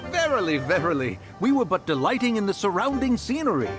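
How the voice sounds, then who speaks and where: A man's voice: sits high, big pitch swings, brisk, over music. A man voicing a fretful, pompous immortal adept with archaic, formal diction in a video game. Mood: flustered.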